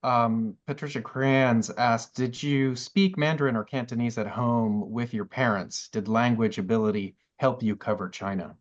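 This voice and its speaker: A man's voice: mid-range voice, fairly even intonation, normal speed, few pauses, muffled recording. A man reading out submitted audience questions in a plain, matter-of-fact way.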